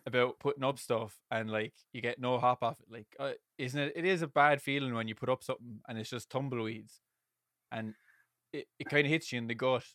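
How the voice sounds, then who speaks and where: Man, podcast host, loud casual talk, dry sound. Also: mid-range voice, brisk, no room sound. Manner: loud, self-deprecating, swears casually. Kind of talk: casual chat over video call. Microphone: video call.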